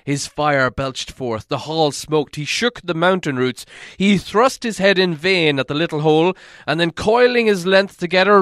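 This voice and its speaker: A man reading a fantasy story aloud as scripted narration, in a theatrical, deliberate manner. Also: on the high side, a bit bright, no room sound, studio quality. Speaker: audiobook reader.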